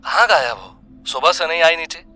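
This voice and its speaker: A man's voice: on the high side, animated, normal speed, sharp tone. A man playing a hot-headed young student politician in an audio drama, curt, aggressive and commanding. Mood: impatient. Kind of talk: Hindi audio drama acting. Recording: music bed under the voice.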